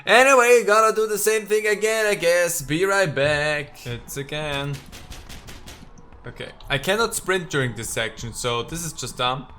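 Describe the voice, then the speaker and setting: A man giving casual let's play commentary as a gaming video host, haltingly thinking out loud as he goes. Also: on the high side.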